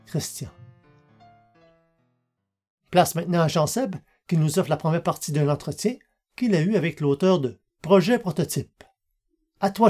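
A man's voice: fairly high pitch, husky. A man hosting a podcast, reading letters and replies in a courteous, formal way. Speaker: podcast host reading listener mail. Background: soft guitar music.

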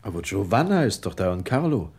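A man's voice: fairly low pitch, big pitch swings, a little fast, darkish tone. An actor playing a mild, indulgent father talking to his young child in a German radio play. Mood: gently reassuring.

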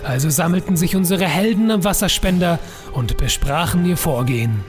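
High-voiced male storytelling narrator. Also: normal speed, over music. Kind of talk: scripted dramatic storytelling narration.

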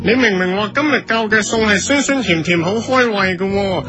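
A man's voice: sits high, normal speed, over music, close to an everyday voice. A man with a Hong Kong accent playing an agreeable, muddled, ageing sidekick on a parody cooking show in a comedy radio drama. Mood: puzzled. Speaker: TV show co-host.

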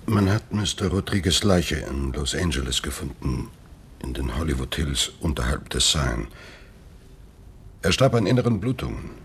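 A stern police detective in a radio drama. His voice sits deep, his is formal and matter-of-fact, and a faint ambience runs behind him. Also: lively intonation, normal speed, slight background noise. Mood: businesslike, grave.

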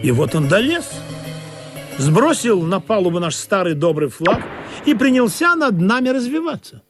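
A man playing a droll sailor who narrates a comic sea tale in an expressive, theatrical, husky voice. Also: high-pitched, moderate pace, music in the background. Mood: droll storytelling. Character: droll male sailor narrator, excitable and fawning among crew.

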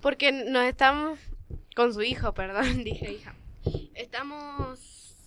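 A woman's voice: sits high, slow, a bit bright. A woman with an Argentine accent doing an improvised acting exercise, with some noise behind her. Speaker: improv theatre student. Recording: faint background noise.

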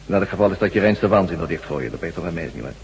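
An actor playing a mysterious, commanding armed stranger in a radio drama, terse, evasive and coolly menacing. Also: male voice, low-pitched, animated, rapid-fire. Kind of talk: Dutch radio drama acting. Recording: hum and noise, long reverb.

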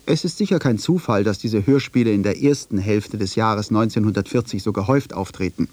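A man's voice: fairly low pitch, a little fast, a little mellow, no echo. A man reading scripted documentary narration as the narrator of a radio feature.

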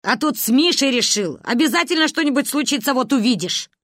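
A woman's voice: high-pitched, animated, fairly quick, fairly bright tone, no echo, studio quality, pitched above the performer's own voice. A woman voicing a bossy, disapproving grandmother who scolds emphatically in an audiobook. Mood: scolding.

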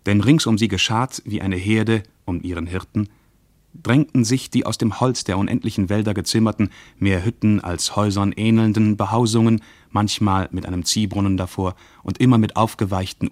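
An actor playing a reflective, brooding man recalling the past in a measured literary radio-play monologue. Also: fairly low pitch, steady tone, a little mellow, no echo, lightly acted. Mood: brooding, remembering.

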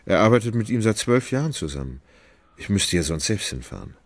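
An actor in a radio play, playing a gentle, indecisive landowner in love who speaks hesitantly and anxiously. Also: male voice, on the low side, sing-song, fairly quick, no room sound. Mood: anxious, tender. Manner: hesitant, wavering. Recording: studio radio play with faint music behind.

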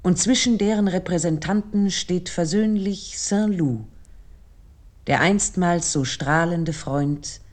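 A woman reading scripted literary commentary for radio in a measured, essayistic manner. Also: on the low side. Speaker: commentator narrator.

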